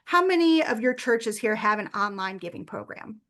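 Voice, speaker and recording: A woman's voice: medium pitch, animated, moderate pace, fairly bright tone. A woman presenting a workshop, telling a personal story earnestly with frequent fillers. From United States.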